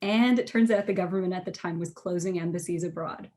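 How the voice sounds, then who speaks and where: A woman's voice: on the low side, fast talker, dark tone. A woman from Canada who works as an executive coach, talking as a podcast guest in a friendly way, laughing often and using fillers. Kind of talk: casual interview chat.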